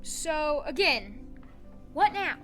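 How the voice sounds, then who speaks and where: Woman playing an earnest, high-voiced young hero. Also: sing-song, drawn-out words, over music, heavily acted. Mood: uncertain. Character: bewildered, down-to-earth young male lost king. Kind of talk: audio drama acting.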